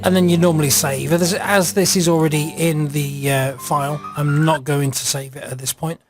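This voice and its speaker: A man narrating an informal screencast software walkthrough, hesitant and improvised as he thinks aloud. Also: a little high, steady tone, moderate pace, over music. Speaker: software reviewer.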